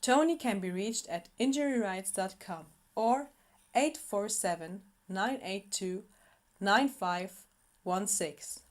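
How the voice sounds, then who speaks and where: Lady podcast host, medium pitched voice, does the reads and asks the guest stuff. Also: animated, takes it slowly. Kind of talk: scripted sponsor read and casual interviewing.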